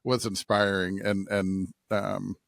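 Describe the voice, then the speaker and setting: A man's voice: low voice, little pitch movement, takes it slowly, creaky, a bit bright, no room sound, studio quality. A man co-hosting a church podcast in casual, conversational talk. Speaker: podcast co-host and pastor. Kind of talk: casual chat.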